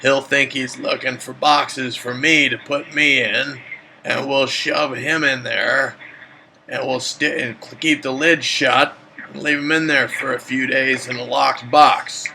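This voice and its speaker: A man's voice: medium pitch, fairly even intonation, measured pace, gravelly, bright, forceful tone, slight background noise, full character voice, rough recording. A man playing a suspicious seventy-six-year-old father who rambles indignantly in folksy phrases on a prank call. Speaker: prank caller.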